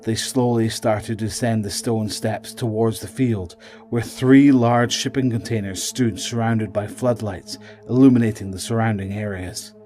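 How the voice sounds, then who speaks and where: A man's voice: on the low side, steady tone, normal speed, few pauses, mellow, faint music behind. A man reading scripted narration for a horror audio drama.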